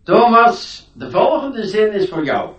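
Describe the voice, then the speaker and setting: An actor playing a stern, pompous schoolmaster in a radio play, scolding in a deliberate manner, in a roomy recording. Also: male voice, sits high, big pitch swings, fairly bright tone, far from the mic, lo-fi sound, exaggerated voice. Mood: scornful, strict. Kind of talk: radio drama acting. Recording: roomy, set back from mic.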